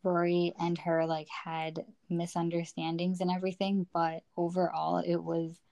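A woman's voice: fairly low pitch, completely flat delivery, moderate pace, vocal fry, dark tone, dry recording. A young woman co-hosting a podcast, chatting casually and a little hesitantly with plenty of "like" and "you know". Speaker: podcast co-host. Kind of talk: casual TV recap discussion.

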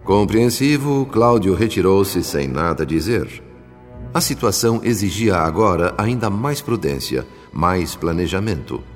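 A Brazilian man narrating a scripted radio drama in a formal, measured manner. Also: deep voice. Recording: long reverb, music behind.

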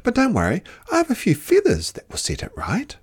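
A storyteller voicing a graceful female emu in a storybook, fluttery, breathy and sighing. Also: mid-range voice, huge pitch swings, a little fast, pitched above the performer's own voice. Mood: fluttery, wistful.

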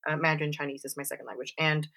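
A woman talks casually in a low voice with a bright, forceful edge. She fills her speech with "like" and "you know", and she acts out the lines of people she quotes. The talk stays loose and conversational throughout. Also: fairly even intonation, very fast. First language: English. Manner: chatty, many fillers and "like"s. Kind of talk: casual chat.

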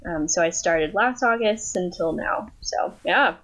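A young woman from Thailand talks loosely over a video call from her family's home. Her voice sits fairly low, and she rambles along with fillers and restarts. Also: unhurried, a bit bright. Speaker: guest. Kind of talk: casual remote interview.

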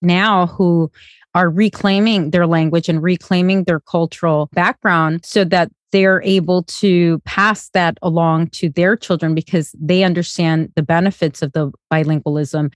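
A woman's voice: fairly low pitch, dry recording, studio quality. A woman hosting a parenting podcast, slipping phrases into her while she interviews her guest. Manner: switches into Spanish phrases, eager interviewer. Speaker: podcast host.

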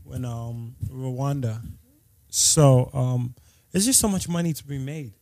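A man's voice: medium pitch, slow pace, darkish tone, dry recording, clean studio sound. A man co-hosting a podcast, chatting casually in a laid-back, slangy, joking way. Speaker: podcast co-host. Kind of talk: casual chat.

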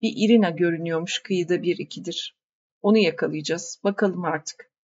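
A woman's voice: on the low side, brisk, darkish tone, dull audio, lightly acted. A woman voicing a friendly, talkative middle-aged village fisherman who explains things patiently. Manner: explains patiently, like reading from an encyclopedia.

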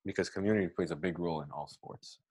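Deep, dark-voiced podcast host. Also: fast talker, slightly rough, no echo. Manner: affirming, repeats words. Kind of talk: casual podcast interview.

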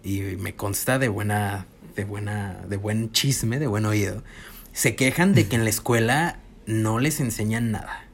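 A man's voice: on the low side, a little slow. A man hosting a casual podcast, steering the guest with friendly prompting questions. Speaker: podcast host.

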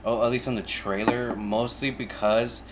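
A man's voice: mid-range voice, fairly even intonation, normal speed, highs cut off, background noise. A young man hosting his own podcast alone, rambling casually with lots of fillers, restarts and swearing, recorded on an earbud mic. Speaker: podcast host. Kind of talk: casual solo podcast chat.

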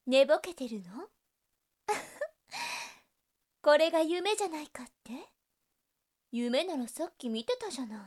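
Slow, high-voiced seductive succubus. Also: female voice, huge pitch swings, darkish tone, full character voice. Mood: teasing, enticing. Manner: slow, coaxing, flirtatious, doting. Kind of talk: Japanese situation voice drama acting.

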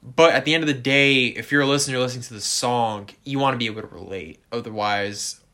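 Guy hosting a music podcast, bright forward voice, chatty, talks right to the audience. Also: mid-range voice, fast talker. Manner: animated, addresses listeners directly, leans on stock phrases. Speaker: podcast co-host. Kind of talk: casual music podcast discussion.

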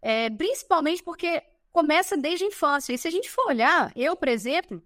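A Brazilian woman in her thirties talks on a podcast with a high, bright-sounding pitch. Her delivery is lively and chatty, full of quick asides and confirming tags. The sound is close and dry, with no room echo. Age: 30s. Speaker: podcast guest. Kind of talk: casual chat.

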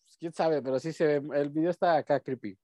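A man's voice: on the high side, fairly even intonation, a little fast, warm tone, no room sound. A young man with a Mexican accent, co-hosting a podcast in slangy, rambling casual chat. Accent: Mexican Spanish. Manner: slangy, rambling, breaks into bits of song. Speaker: podcast co-host.

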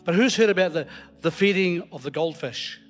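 A man's voice: high-pitched, normal speed, soft background music. A Scottish man preaching informally to a congregation, telling stories in a jokey, self-deprecating way. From Scotland. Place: large hall, live before a congregation. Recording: long hall reverb, live church recording.

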